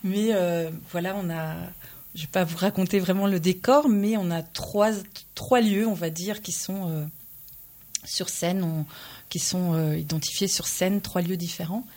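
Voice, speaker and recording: Earnest woman recounting, low voice. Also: steady tone, darkish tone. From Belgium. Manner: earnest, measured, recounting with frequent pauses and "voilà". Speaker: playwright and stage director. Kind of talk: interview answers.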